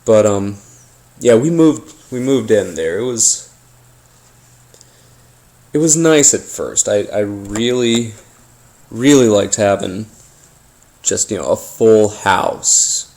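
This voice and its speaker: A man telling a first-person story casually, as if being interviewed, in a halting, hesitant way with pauses and repeats. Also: on the low side, a little mellow. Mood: nostalgic, reflective. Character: ordinary man recounting childhood brushes with a haunting.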